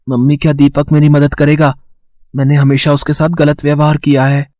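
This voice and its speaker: A man's voice: a little high, monotone, brisk, a little mellow, no room sound, natural voice. A man voicing a well-off schoolboy in a cartoon moral story. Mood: remorseful. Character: spoiled rich schoolboy turned remorseful.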